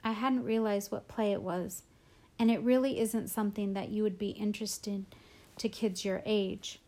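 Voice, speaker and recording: Storybook mother, read by a woman, measured and placating, the family peacekeeper. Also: mid-range voice, fairly even intonation, warm tone, natural voice. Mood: careful.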